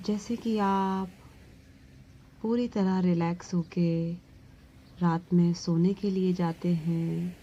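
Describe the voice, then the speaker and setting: Slow, low-voiced woman guiding meditation. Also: steady tone, highs cut off, slight background noise. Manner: soothing, gently instructing, long pauses. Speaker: yoga nidra meditation instructor. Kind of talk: slow guided relaxation meditation.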